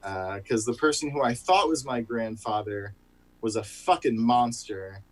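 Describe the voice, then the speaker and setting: A man finishing a self-mocking stand-up set over a video call, in a wry, unhurried way. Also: medium pitch, soft background music. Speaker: stand-up comic.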